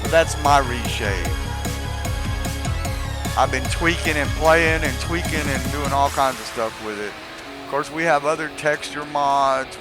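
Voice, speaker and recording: A man giving casual gaming tutorial commentary, rambling and hesitant as he thinks aloud. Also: mid-range voice. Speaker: gaming video host. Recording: loud music behind the voice.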